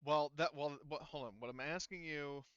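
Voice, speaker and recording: A man acting as game master in a casual tabletop session, speaking haltingly and checking details back with players. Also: a little high, a bit bright, clean tone, no echo, highs cut off.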